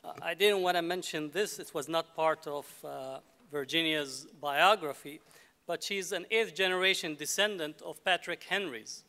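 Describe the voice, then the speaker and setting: A man acting as master of ceremonies, formally introducing speakers in a somewhat halting way. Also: a little high, bright, forceful tone. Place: large hall at a ceremony. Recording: reverberant hall.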